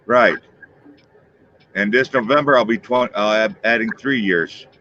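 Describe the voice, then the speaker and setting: A man's voice: on the low side, unhurried, sharp tone, muffled recording, slight background noise. A man in his fifties hosting a livestream, chatting loosely and joking with viewers. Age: 50s. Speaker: livestream host. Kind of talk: casual chat with viewers.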